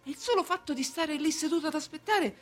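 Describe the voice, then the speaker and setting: An anxious merchant of a radio play, acted by a woman, speaks in a high voice with faint music behind. The delivery is confiding and insistent, with the submissive fretting of an obsessed client. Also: quick pace, natural voice. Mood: fretful, obsessive. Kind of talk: stage acting in a radio drama.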